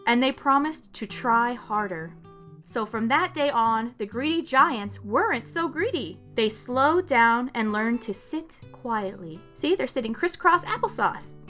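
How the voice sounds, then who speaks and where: A woman's voice: a little high, sharp tone. A woman hosting a children's story time, reading aloud expressively and explaining things simply to young listeners.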